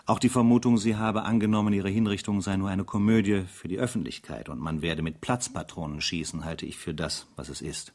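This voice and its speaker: An actor playing a sober, dutiful French army captain in a radio drama, speaking in a measured, grave and formal manner. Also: male voice, deep voice, darkish tone. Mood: reflective, firm. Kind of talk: radio drama narration in diary form.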